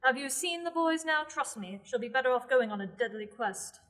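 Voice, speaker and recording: A woman's voice: sits high, animated, brisk, a bit bright. A young actress playing a playful, mischievous village witch who talks in a wry, teasing way. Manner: wry, teasing and thrill-seeking. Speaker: student actor in a radio play. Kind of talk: amateur radio play acting.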